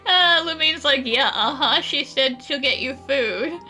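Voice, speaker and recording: A woman's voice: high-pitched, measured pace, sharp tone. A chatty woman doing casual, improvised commentary and replies during a video game playthrough. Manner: chatty, laughs easily. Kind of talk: casual improvised let's play dialogue and commentary. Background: game music.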